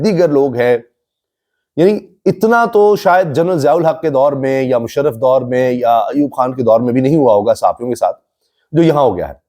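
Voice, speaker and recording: Male commentator, Pakistani accent, medium-pitched mellow voice, earnest explanatory monologue delivery. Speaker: news commentator and host. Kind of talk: political commentary monologue to camera.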